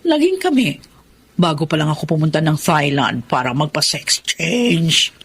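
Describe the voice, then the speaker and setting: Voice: mid-range voice, big pitch swings, normal speed, a bit bright, slightly rough, full character voice. A performer playing a devoted, sweet young transgender woman in love, coy and affectionate, in a Filipino radio drama. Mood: confessing, earnest.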